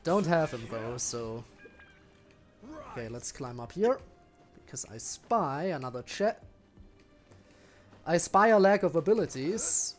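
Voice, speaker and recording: A man's voice: fairly high pitch, lively intonation, measured pace, pauses often, faint music behind. A man giving casual gameplay commentary as a streamer, dryly talking to himself. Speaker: game streamer.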